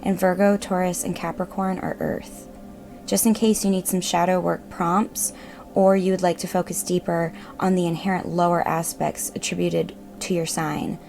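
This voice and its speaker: Woman, meditation host, creaky vocal fry, reassuring measured delivery, music behind. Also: on the low side, little pitch movement, darkish tone. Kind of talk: guided meditation narration.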